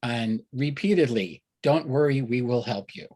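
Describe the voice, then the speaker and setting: Male interviewer, medium pitch, rough husky tone, casual and halting. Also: darkish tone. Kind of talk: casual conversation.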